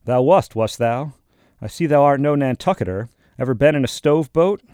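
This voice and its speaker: A gruff old sea captain, put on by a male narrator, loud and raised in pitch over the reader's own voice, blustering and suspicious. He fires question after question in old-fashioned speech. The tone is dark and the sound is dry and close. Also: normal speed, dry recording, lightly acted.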